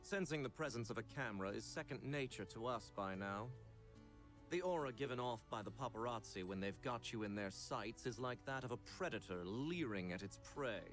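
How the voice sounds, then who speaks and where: A man playing a grave, watchful young hunter who speaks in a measured, dramatic way. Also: mid-range voice, expressive, fairly bright tone, faint music behind, natural voice.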